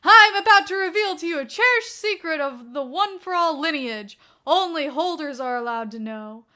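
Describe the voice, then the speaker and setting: A mischievous grown hero revels in playing the villain, as a woman storyteller puts him on. The delivery is theatrical and boastful, with a bright, forceful edge and raised pitch. Key words are hit hard, as in a dramatic storybook. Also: lively intonation, moderate pace. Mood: gleeful, boastful. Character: mischievous middle-aged male superhero playing gleeful prank villain.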